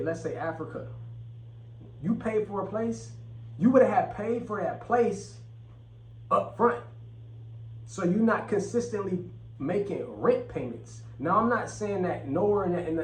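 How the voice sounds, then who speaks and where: A man rants casually on his vlog, swearing freely and hammering his points by repeating them. His voice sits somewhat high. The sound is roomy and echoing, caught from a few steps away. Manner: blunt, profane, repeats phrases for emphasis. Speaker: channel host. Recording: echoey room, mic at a distance.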